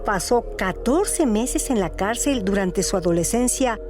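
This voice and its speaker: A woman's voice: medium pitch, lively intonation, unhurried. A woman reading scripted narration as the host of a radio show. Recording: loud music bed behind voice. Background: music.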